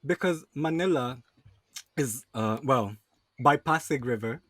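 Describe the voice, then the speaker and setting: A soft-spoken man speaking as a podcast guest in casual chat. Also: medium pitch, a little slow, no echo.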